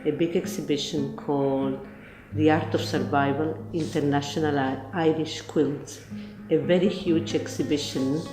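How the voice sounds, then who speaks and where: A woman's voice: deep voice, fairly even intonation, moderate pace, roomy sound, over music. A woman from Chile with a Chilean Spanish accent, carefully explaining textile pieces in an interview. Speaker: textile curator.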